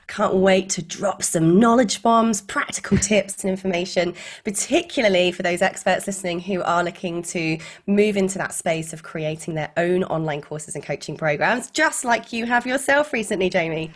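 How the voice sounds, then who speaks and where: A woman in her thirties with a croaky voice, speaking as an enthusiastic, encouraging guest in an interview and laughing often. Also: mid-range voice, moderate pace. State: croaky voice from illness. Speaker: guest expert on online courses. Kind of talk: conversational interview.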